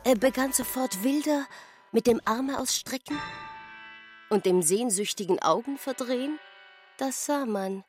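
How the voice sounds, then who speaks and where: A watchful female observer in a radio play. She speaks slowly and deliberately in a fairly high voice, posing terse questions over faint music. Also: animated. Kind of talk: literary radio drama narration.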